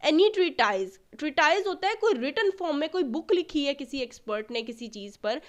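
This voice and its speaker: A woman's voice: high voice, animated, a little fast. A woman with a Pakistani accent giving an explanatory law lecture, didactic, repeating key phrases and checking that listeners follow.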